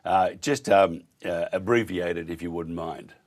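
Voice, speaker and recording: A hoarse Australian man hosts live breakfast radio. His voice sits very low and gets rough as he rants bluntly. He slips into mocking voices to send up his targets. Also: measured pace. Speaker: radio host.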